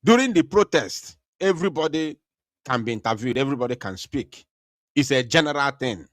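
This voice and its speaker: A man's voice: medium pitch, animated, a little fast, a bit bright, no echo, clean studio sound. Earnest man hosting a call-in show. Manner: earnest, repeats himself, many hesitations. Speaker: talk show host. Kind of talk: live online talk show commentary. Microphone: computer mic over internet call.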